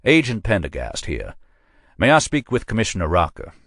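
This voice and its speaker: A man's voice: fairly low pitch, wildly swooping pitch, normal speed, a bit bright, no room sound, clean studio sound, pitched above the performer's own voice, heavily acted. A man voicing a polished, cunning male FBI agent with a Southern accent, courteous but coolly commanding.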